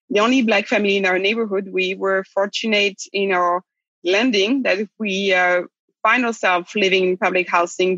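A woman, a podcast guest thoughtfully telling her life story, pausing and restarting her sentences. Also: medium pitch, fairly bright tone, no echo, dull audio. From Republic of the Congo, raised in France. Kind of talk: reflective personal storytelling.

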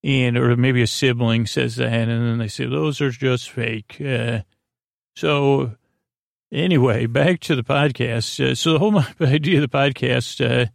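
Creaky-voiced rambling male podcast host. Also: medium pitch, fairly even intonation, measured pace, no room sound, studio quality. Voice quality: creaky, gravelly. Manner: hesitant, meandering, with stammered false starts. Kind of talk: rambling, whimsical improvised bedtime monologue.

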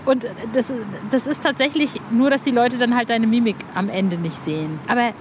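A woman's voice: a little high, moderate pace. A woman interviewing casually, searching for words as she talks. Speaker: podcast interviewer. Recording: clear urban background noise.

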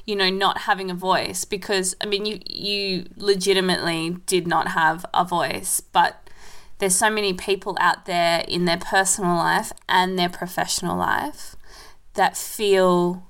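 A woman's voice: on the low side, flat delivery, unhurried, fairly bright tone. A woman hosting an interview, encouraging her guest and asking follow-up questions. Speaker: podcast host. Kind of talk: casual interview conversation.

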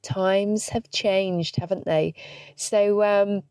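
A woman's voice: medium pitch, fairly even intonation, very slow, darkish tone, smooth, dry recording. A woman hosting her podcast from a parked car, stuffy with hay fever, chatting cheekily and laughing at herself. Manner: chatty, cheeky and self-deprecating, laughing often. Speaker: podcast host. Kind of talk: casual solo podcast chat.

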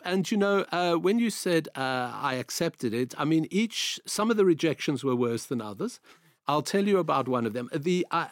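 A man in his sixties with a South African accent tells stories from his working life in a calm, measured way. His voice sits at an ordinary middle pitch. The sound is dry and close, with no room around it. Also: no echo. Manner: measured, anecdotal, repeats phrases. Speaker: guest, veteran journalist. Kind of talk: interview, storytelling.

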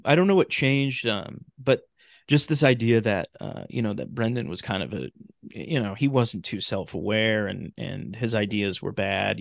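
A man in a casual podcast conversation, talking in a halting, rambling way and often restarting his phrases. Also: mid-range voice, gravelly, dry recording, clean studio sound.

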